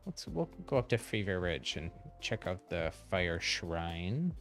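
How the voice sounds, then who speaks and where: A man's voice: deep voice, very animated, measured pace, fairly bright tone, faint music behind. A man giving casual live gaming commentary as a streamer, chatting in an easygoing way and thinking aloud. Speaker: game streamer.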